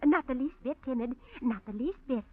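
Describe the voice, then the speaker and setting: A woman's voice: high-pitched, fairly quick, no echo. A woman playing a giddy, proud wealthy wife in 1940s radio style, gushing and boastful. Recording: lo-fi old radio.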